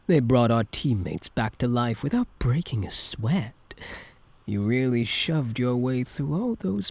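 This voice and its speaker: A man playing an obsessive, infatuated supervillain in an intimate ASMR roleplay, soft-spoken and teasing, with a breathy voice that dips into whispers. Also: medium pitch, dry recording, full character voice. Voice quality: breathy, gravelly, dropping at times into whispers. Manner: teasing, unhurried, falsely gentle.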